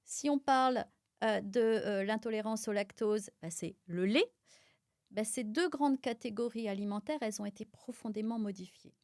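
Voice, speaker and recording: A woman's voice: on the high side, normal speed, no echo. Woman from auvergne, middle-aged, pharmacist guest, explanatory, filler-heavy, dry studio. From France (Auvergne). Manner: explanatory, lots of fillers, animated. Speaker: guest, pharmacist and micronutrition expert. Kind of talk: casual podcast conversation.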